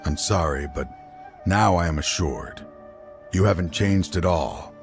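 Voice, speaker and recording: An actor playing a dying older scholar in a video game, grave, weary and resolute. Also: male voice, measured pace, music in the background. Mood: tender. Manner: grave, weary, low-spoken. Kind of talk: video game cutscene voice acting. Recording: game dialogue over loud score.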